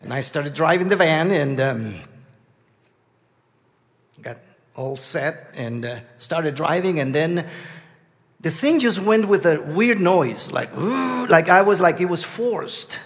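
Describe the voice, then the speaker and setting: A middle-aged man from Guatemala with a Guatemalan Spanish accent, telling a story as a guest preacher, halting and full of restarts. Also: fairly high pitch, lively intonation, long reverb tail. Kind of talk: storytelling sermon.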